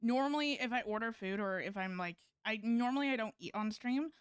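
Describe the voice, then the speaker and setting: High, clear-voiced woman livestreamer. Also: brisk, no echo, studio quality. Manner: chatty, hesitant phrasing. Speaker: game livestreamer. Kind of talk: casual stream chat and reading game dialogue aloud.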